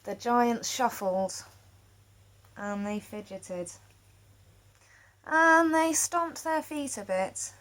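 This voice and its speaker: Expressive woman reading a children's story. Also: on the high side. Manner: expressive, dramatic pauses. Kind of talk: children's picture book read-aloud.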